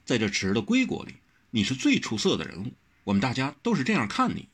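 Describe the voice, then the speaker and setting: A man doing a mild young swordsman, husky. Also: mid-range voice, huge pitch swings, fast, pitched above the performer's own voice, heavily acted. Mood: placating. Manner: gentle, placating.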